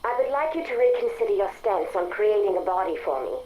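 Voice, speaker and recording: A woman's voice: mid-range voice, expressive, fairly quick, muffled recording, natural voice. A woman playing an earnest, pleading artificial intelligence in a video game drama, with formal, persuasive phrasing. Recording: roomy echo, band-limited comms, noisy.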